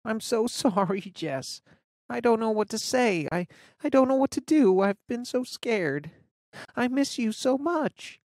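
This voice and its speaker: Woman voicing a high, tearful teen girl. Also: animated, no room sound, studio quality, natural voice. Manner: rushed, breaking into tears. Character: teenage girl, tearful and rattled. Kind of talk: audiobook character voice.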